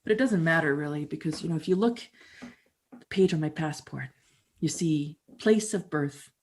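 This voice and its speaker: Woman in her fifties telling a story. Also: low-pitched. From Canada. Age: 50s. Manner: intimate, conversational storytelling with dramatic pauses. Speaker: storyteller and musician. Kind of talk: theatrical first-person monologue.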